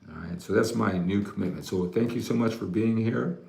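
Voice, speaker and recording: A mature American man hosts a casual livestream as a fitness coach. His voice is low and heavily creaky, with a roomy echo around it. He rambles conversationally and often checks in with a quick "right?". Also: a little mellow. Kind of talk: casual livestream chat to viewers. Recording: roomy, echoey room sound.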